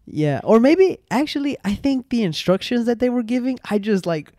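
A young man hosting a home podcast on a boom arm mic, chatting casually and rambling with restarts and slang. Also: very animated, normal speed, warm tone. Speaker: podcast host. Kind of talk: casual chat.

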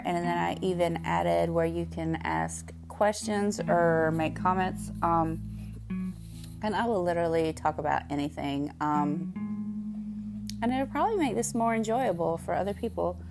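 A young woman with a Southern US accent, chatting candidly and rambling on her solo podcast, full of fillers and pauses. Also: lowish voice, vocal fry. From Southern United States. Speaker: podcast host.

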